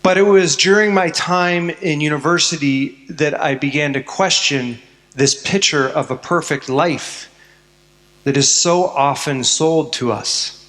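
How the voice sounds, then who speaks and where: A man's voice: fairly high pitch, a little slow, a bit bright. A Canadian man telling his life story in a prepared talk to students, earnest with some self-mocking humor. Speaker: speaker addressing students. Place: school hall. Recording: long hall reverb.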